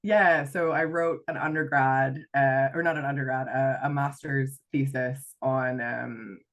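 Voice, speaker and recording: A woman's voice: very deep voice, fairly even intonation, unhurried. A middle-aged woman with a Canadian accent touched by Irish, talking reflectively as a guest on a podcast interview, with many fillers and restarts. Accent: Canadian with some Irish influence. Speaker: guest, life coach.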